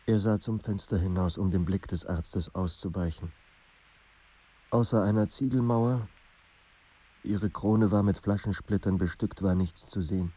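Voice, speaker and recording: A man narrating a melancholy literary radio drama in a measured, sombre manner. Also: low voice, dark tone, breathy edge, muffled recording.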